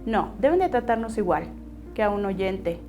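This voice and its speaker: A woman with a mid-range voice giving testimony in a documentary, speaking deliberately in short, firm phrases. Faint music plays behind her. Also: medium pitch, animated.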